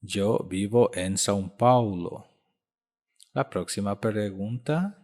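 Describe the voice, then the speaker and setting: A man in his thirties teaching a language lesson, saying each phrase slowly and repeating it several times. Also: fairly low pitch, darkish tone. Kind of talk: slow spoken language lesson.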